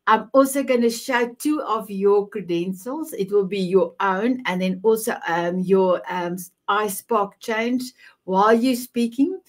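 A woman's voice: medium pitch, unhurried. Woman host of an online talk show, effusive interviewer on a video call mic.

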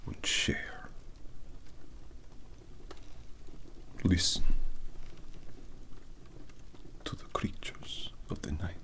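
Melodramatic vampire prince, half-whispered and very slow, grandiose ASMR monologue. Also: male voice, extremely low pitch, full character voice. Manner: theatrical, halting, grandiose. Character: pompous, melodramatic male vampire, exiled crown prince.

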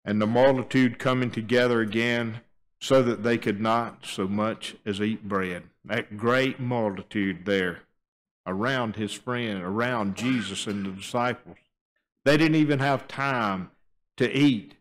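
An older man preaching a sermon at a church pulpit, moving between quiet, low stretches and raised, emphatic appeals. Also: measured pace. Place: church sanctuary, standing at a wooden pulpit. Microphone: pulpit microphone, filmed by a fixed camera.

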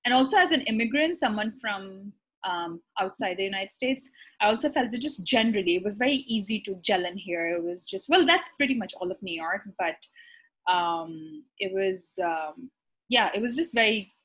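Young woman, physician guest, from Pakistan. Slightly husky voice, casual, hesitant pacing, webcam call. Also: medium pitch, expressive, moderate pace, fairly bright tone, poor audio. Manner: thoughtful, many ums and restarts. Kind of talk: casual conversational interview. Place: bright apartment room, indoors. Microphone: laptop webcam on a video call.

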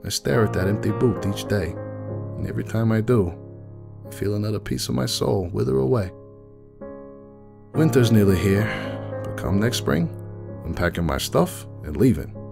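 A man's voice: lowish voice, lively intonation, moderate pace, vocal fry, pauses often, music in the background. A man voicing a bitter, grieving middle-aged pony who runs a cafe, weary and anguished, in a dramatic story reading. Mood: aching grief. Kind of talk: dramatic fan fiction reading in first person.

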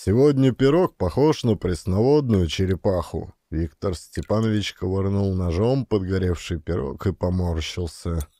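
A man's voice: sits low, moderate pace, few pauses, dark tone, no room sound. A man reading scripted audiobook narration as a storyteller. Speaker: narrator and actor of an audio play. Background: music.

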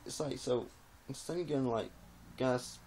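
Halting young man, noisy home recording. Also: medium pitch, expressive, vocal fry, darkish tone. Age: young adult. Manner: halting, meandering, sweary, ends phrases with "eh". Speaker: vlogger. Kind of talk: casual rambling chat to camera. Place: small indoor room, reclining against a wall.

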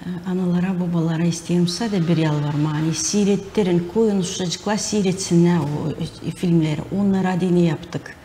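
A woman's voice: fairly low pitch, a little fast, few pauses, warm tone. A middle-aged woman with a Gagauz accent speaking earnestly as a guest in a studio interview. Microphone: lapel. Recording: faint background noise.

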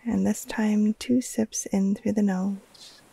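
Female yoga nidra guide: hushed, mellow and unhurried, breathy near-whisper with heavy vocal fry, soothing short phrases. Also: medium pitch, steady tone. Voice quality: breathy, soft, often trailing into near-whisper. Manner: slow, soothing, hushed, with long pauses between short phrases. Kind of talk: guided relaxation meditation.

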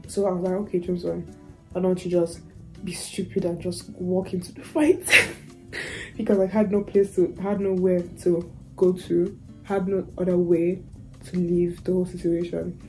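A young woman with a mellow, slightly raspy voice chats casually to a camera in a small student bedroom. She rambles through her story with lots of fillers and restarts, in a relaxed vlog tone. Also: fairly low pitch, normal speed, faint music behind, low-quality recording. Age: young adult. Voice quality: raspy from lost voice. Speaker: student vlogger.

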